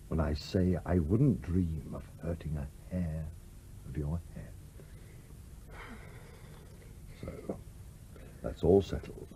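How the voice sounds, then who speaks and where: An actor in a radio play voicing the blustering, bossy ghost of a sea captain, with old-fashioned oaths and an exasperated, commanding manner. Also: male voice, very deep voice, warm tone, a little hiss or hum. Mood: quiet, firm.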